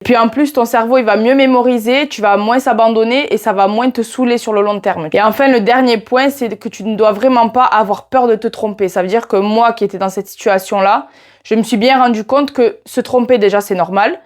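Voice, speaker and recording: Young woman, southern French accent, casual vlogger, slightly high voice, talks straight to the viewer. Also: fairly quick. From France. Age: 20s. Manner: chatty, addresses viewer directly with "tu vois". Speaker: YouTuber teaching Portuguese. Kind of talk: casual vlog talking to camera.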